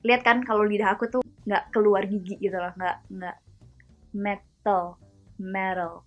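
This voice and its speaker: A woman from Indonesia hosting a casual vlog-style lesson, chatty and slowing down to demonstrate words one at a time. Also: mid-range voice, little pitch movement, lo-fi sound, soft background music. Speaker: video host teaching pronunciation.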